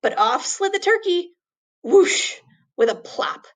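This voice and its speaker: A woman reading a children's story aloud expressively, with deliberate, dramatic emphasis on words. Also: very high voice, big pitch swings.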